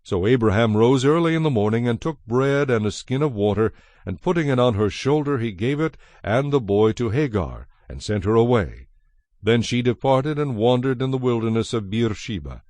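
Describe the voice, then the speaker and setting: A man giving a measured, solemn narration of scripture as the narrator of a dramatized production. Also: medium pitch, animated, dry recording. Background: soft music.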